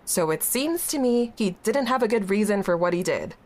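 A woman's voice: medium pitch, animated, moderate pace, darkish tone, close to an everyday voice. An actress playing a young woman in a grief support group, evasive at first and then blunt and exasperated. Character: young woman, guarded and impatient. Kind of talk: audio drama acting.

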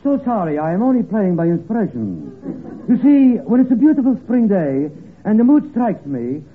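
An actor playing an eccentric Russian would-be musician in a radio comedy, with a mock Russian accent and grandly formal, fractured English. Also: male voice, sits high, wildly swooping pitch, moderate pace. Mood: pompous, absurd. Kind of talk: 1940s radio comedy acting. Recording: tinny, noisy vintage radio broadcast.

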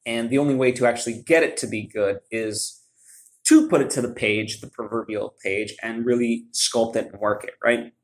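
A man talking in a casual, reflective vlog monologue, conversational and halting, often checking in with the listener as he goes. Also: medium pitch, steady tone, poor audio. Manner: conversational, halting, often seeking agreement with "right?". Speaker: vlogger, writer.

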